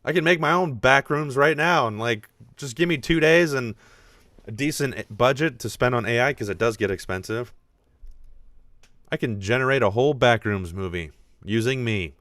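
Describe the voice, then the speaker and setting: A man with a deep voice hosting a live podcast, talking casually and animatedly, thinking out loud with frequent restarts. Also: moderate pace, no room sound. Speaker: podcast host.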